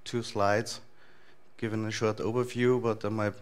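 A man in his thirties with an Austrian accent, giving a relaxed, loosely structured talk to a conference audience in a large lecture hall. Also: lowish voice, moderate pace, clear, resonant tone. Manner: relaxed, thinking aloud with frequent fillers and restarts. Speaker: conference speaker.

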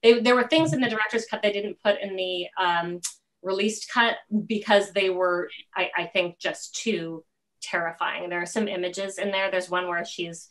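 Woman hosting, halting and emphatic, mid-range pitch. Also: fairly bright tone, rough recording. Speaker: host, interviewer. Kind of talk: casual interview chat. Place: indoor home room, on a video call. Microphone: video call.

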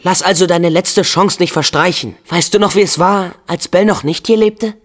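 An actor voicing an earnest, excitable teenage boy hero in a video game, with a youthful, expressive and eager delivery. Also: high-pitched, moderate pace, close to an everyday voice. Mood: earnest, reassuring. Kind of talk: video game character dubbing.

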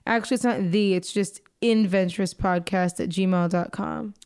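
A woman in her twenties co-hosting a podcast, joking and self-deprecating, her voice a little hoarse. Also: medium pitch, steady tone, moderate pace, a little mellow. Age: 20s. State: slightly hoarse. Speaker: podcast co-host. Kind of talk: casual chat.

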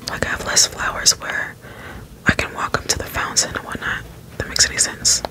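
A woman whispering soft, rambling ASMR commentary over gameplay in an unhurried way. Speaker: let's play streamer.

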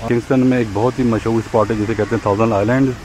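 A man's voice: fairly low pitch, steady tone, very fast. Chatty man vlogging outdoors, noisy. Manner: chatty, pointing things out to viewers. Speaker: travel vlogger. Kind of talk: casual travel vlog narration. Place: outdoors at a harbor and on a tour boat deck.

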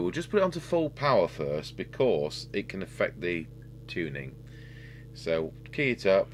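A middle-aged man giving a casual, halting repair demonstration, thinking aloud as a technician at work. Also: deep voice. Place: indoor workbench. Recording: steady hum and background noise.

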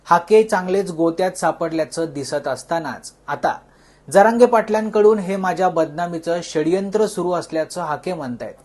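Scripted news presenter, mid-pitched voice. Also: moderate pace, a little mellow.

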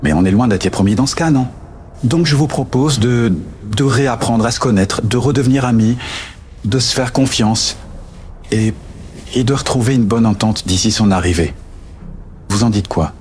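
A man playing an earnest, strained police commandant and father-to-be in an audio drama, with a halting, hesitant manner. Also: medium pitch, darkish tone, a little hiss or hum, lightly acted. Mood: conciliatory. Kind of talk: audio fiction dialogue. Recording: music behind the voice.

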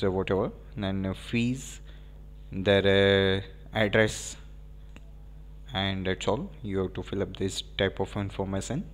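A man with an Indian English accent walks through a software demo over a screen recording. His voice sits low and fairly flat but comes across clear and smooth. He pauses often and fills the gaps with fillers. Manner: hesitant, frequent filler pauses.

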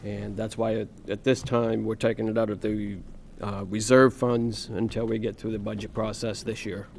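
A man's voice: fairly low pitch, level tone, moderate pace, creaky, a little hiss or hum. A man serving as a town councilor, adding a matter-of-fact remark at a council meeting.

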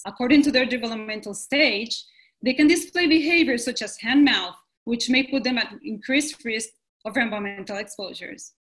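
A woman from Rico speaks with a slightly high voice. She presents as a pediatrician in an earnest, measured manner, reading her script over a video call from a room at home. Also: fairly bright tone, rough recording. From Puerto Rico. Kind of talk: prepared presentation.

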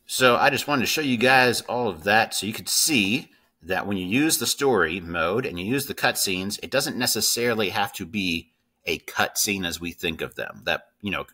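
A man's voice: on the low side, moderate pace, pushed and bright, breathy edge. A man in his thirties giving an informal, step-by-step screen tutorial as a coding teacher, often checking in with the listener. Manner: informal, instructive, checks in with "right?" and "okay?". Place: indoors at a computer.

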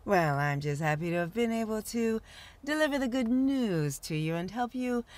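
A woman playing a scheming, greedy fake psychic in an audio drama, with a syrupy, drawn-out delivery. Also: medium pitch, animated, a little mellow, close to an everyday voice. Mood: falsely sweet. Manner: syrupy, drawn-out delivery full of knowing pauses.

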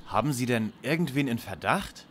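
An actor playing a polite, precise young lead detective in a parody detective radio play. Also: male voice, mid-range voice, lively intonation, moderate pace, fairly bright tone, a little hiss or hum, close to an everyday voice. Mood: courteous. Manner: formal, courteous, precise.

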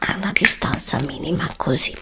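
A woman, softly whispering a skincare review for ASMR close to a desk mic. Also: deep voice, background noise. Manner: soft whispering, slow and hesitant. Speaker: ASMR creator. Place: home studio with green screen. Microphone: studio desk mic, close.

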